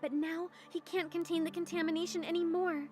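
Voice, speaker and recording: Dutiful game townswoman: high pitch, grave and measured, faint music. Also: little pitch movement. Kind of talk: video game dialogue acting.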